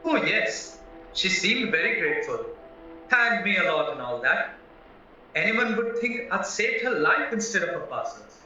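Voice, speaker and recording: A naive, polite young husband acted by a man in an audio play, chatty and earnest as he explains himself. His voice is bright, sharp and lively, heard in a heavily echoing space with a distant mic. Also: sits high, unhurried, full character voice. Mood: candid, chatty. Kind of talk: stage-style audio play acting. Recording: very echoey, distant, muffled, music behind.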